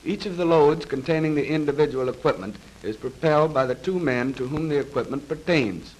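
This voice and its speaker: A man reads scripted narration for a 1930s military training film in a formal, measured, precise manner, on a vintage film soundtrack. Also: on the high side, darkish tone, rough recording. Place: voice-over recorded for a film.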